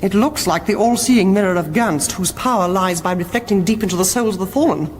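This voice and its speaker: A woman's voice: mid-range voice, a little fast, slight background noise, natural voice. A woman playing a sensible, exasperated queen and mother in a radio comedy, reasoning pointedly and insistently. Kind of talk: broadcast radio comedy acting.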